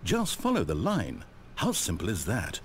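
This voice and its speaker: A man's voice: deep voice, wildly swooping pitch, normal speed, slight background noise, full character voice. A man with a British accent playing a fussy, whimsical, easily exasperated storyteller in a video game, conversational and theatrical. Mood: bright, musing. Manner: conversational, self-interrupting, dramatic. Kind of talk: theatrical scripted narration.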